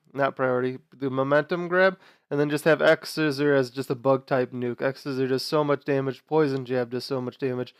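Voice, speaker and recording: A man's voice: a little high, clean tone, no room sound. Sick, nasal young man chatting on games. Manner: chatty, explains team choices with fillers and restarts. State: sick, nasal and quieter than usual. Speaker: gaming video host. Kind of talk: casual gameplay commentary.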